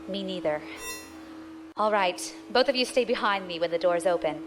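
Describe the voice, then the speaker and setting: A woman's voice: medium pitch, expressive, moderate pace, over music, close to an everyday voice. A woman playing a tough, foul-mouthed female space pirate leader who gives orders in a brash, bossy way. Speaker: crew boss.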